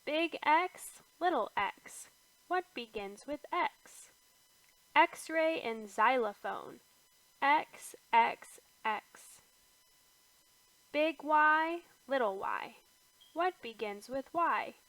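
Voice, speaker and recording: A woman reads an alphabet picture book aloud for toddlers, her high voice rising on each capital letter and sinking to a near hush on the repeats. She takes everything very slowly, with lots of space between words. The sound is close and dry, with no room around it. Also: animated, no echo, poor audio. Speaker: children's book reader. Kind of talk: slow read-aloud for toddlers.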